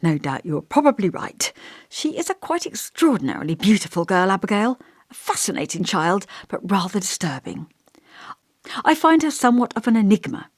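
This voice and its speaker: A woman's voice: mid-range voice, sing-song, normal speed, dry recording, studio quality. A woman voicing an elderly male village vicar in an audiobook, kindly, long-winded and fussy, with a pompous, old-fashioned, musing manner. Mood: musing, gentle. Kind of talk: audiobook character dialogue.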